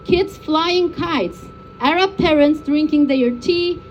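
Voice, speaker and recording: A woman from Turkey delivers a personal, emotional speech as a peace activist. Her voice is high and earnest. The recording is dull and muffled, with some faint noise. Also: slow pace. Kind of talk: prepared public speech, personal testimony.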